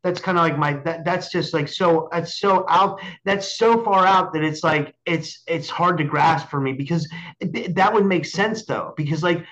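Dull lo-fi call audio, man, fairly high voice, casual interviewer, excitable, rambling, repetitive phrasing. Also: normal speed. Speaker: interview host. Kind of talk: casual chat. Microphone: video call.

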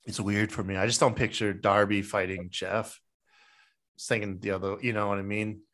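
A man with a low voice rambles with many fillers. His tone is bright. He talks freely. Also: flat delivery, normal speed. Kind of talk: casual wrestling chat.

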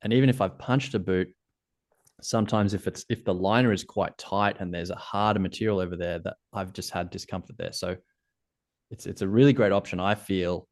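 An Australian man with a notably low, deep voice. He talks in an easygoing, considered way, working through technical details in casual conversation. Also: a little fast. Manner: relaxed, thoughtful, technical about gear. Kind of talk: casual interview chat.

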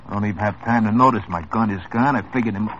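An actor playing a small-time hoodlum in an old radio drama, tough, wry and world-weary. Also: male voice, on the low side, fast, fairly bright tone, slight background noise, natural voice. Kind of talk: 1950s radio drama acting. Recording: muffled old radio broadcast.

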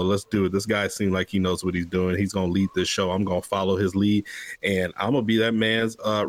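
A man's voice: fairly low pitch, dry recording. A man co-hosting a podcast in casual chat, laid-back and slangy. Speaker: podcast co-host.

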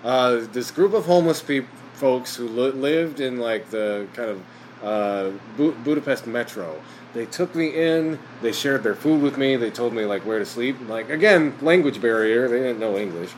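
A man chatting as a podcast guest, rambling with lots of fillers and quick laughs. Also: medium pitch, a little hiss or hum, rough recording. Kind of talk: casual chat.

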